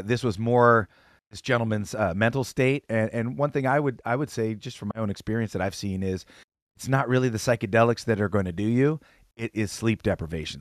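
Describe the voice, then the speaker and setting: A man reporting news on a casual talk show, earnest and halting, with many fillers. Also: fairly low pitch, no room sound, clean studio sound. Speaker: correspondent. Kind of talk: casual panel chat.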